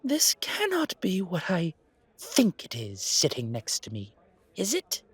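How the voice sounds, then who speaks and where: A man's voice: sits high, huge pitch swings, measured pace, breathy edge, exaggerated voice. A man voicing a refined, haughty old vampire who speaks in a formal, courtly, theatrical way. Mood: offended disdain.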